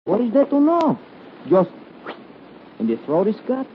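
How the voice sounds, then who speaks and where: An actor playing a shady Mexican dealer in a 1950s radio thriller, with a Mexican accent and sly, halting broken English. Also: male voice, sits high, wildly swooping pitch, heavily acted. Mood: sly, amused. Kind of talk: 1950s radio suspense acting. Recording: muffled, noisy 1951 radio recording.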